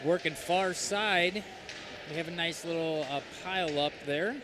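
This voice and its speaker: A man's voice: fairly high pitch, a little slow, noisy background. A man doing color commentary on a hockey game, chatty and joking in his asides.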